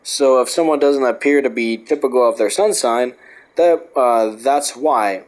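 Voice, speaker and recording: Young adult man, mid-range voice going hoarse, reads aloud then rants off-script with plenty of swearing. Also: medium pitch, a little slow, a little mellow. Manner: rambling, profane, ranting. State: losing his voice. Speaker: host. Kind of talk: reading a book aloud with casual commentary.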